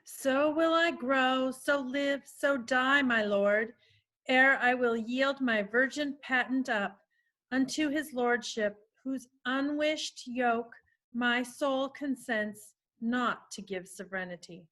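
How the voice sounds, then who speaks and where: A woman's voice: sits high, slow pace, a bit bright, poor audio. A woman playing a bold, earnest young maiden in a formal Shakespearean table read. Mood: resolute. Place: remote video call reading. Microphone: video call.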